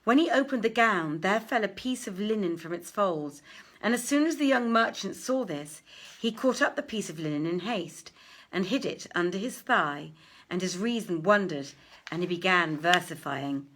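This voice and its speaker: A woman reading an old tale as a storyteller, with theatrical, deliberate and expressive literary narration. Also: mid-range voice. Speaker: storyteller, reader.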